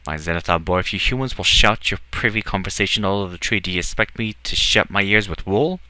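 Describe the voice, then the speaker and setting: A man voicing a sardonic, haughty tall male elf with a quick temper and a theatrical, teasing manner in a storybook reading. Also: deep voice, bright, forceful tone, no room sound. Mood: mocking. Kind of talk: audiobook character acting.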